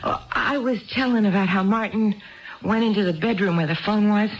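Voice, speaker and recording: An actress playing a tipsy, neglected housewife who rambles and confides hesitantly, in a 1970s radio drama style. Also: female voice, medium pitch, normal speed, slight background noise, lightly acted. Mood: tipsy confiding. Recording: dull 1970s radio broadcast recording.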